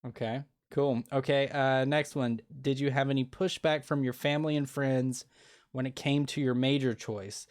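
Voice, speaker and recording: A man's voice: mid-range voice, fairly even intonation, moderate pace, a little mellow. Male show host, casual and earnest, rambles through restarts and fillers. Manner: earnest, opinionated. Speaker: podcast host. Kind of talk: casual interview.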